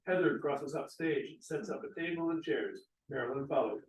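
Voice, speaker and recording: A man's voice: a little high, normal speed, slight background noise. A man reading stage directions aloud as scripted narration. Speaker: stage directions reader at a play table read. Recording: echoey room, distant mic.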